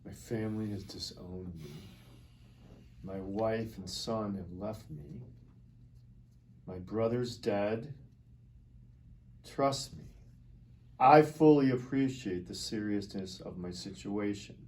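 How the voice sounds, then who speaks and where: A man's voice: on the low side, slow pace, long pauses, darkish tone. A man at a table read playing a cynical arms dealer who is casually, coolly defiant under interrogation. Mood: bitter, assured. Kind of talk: acted screenplay table read. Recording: noticeable background noise.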